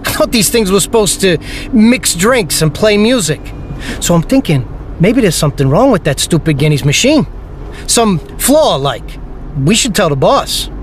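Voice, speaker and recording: An actor playing an exasperated, rough-talking gangster driver, blunt and irritable, in an audio drama. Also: male voice, sits high, sing-song, normal speed. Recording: faint car noise behind.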